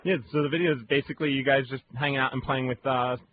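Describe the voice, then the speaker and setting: A man's voice: mid-range voice, steady tone, fast talker. A man hosting a casual radio interview, asking questions in an informal, chatty way. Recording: lo-fi phone-like radio recording, noisy.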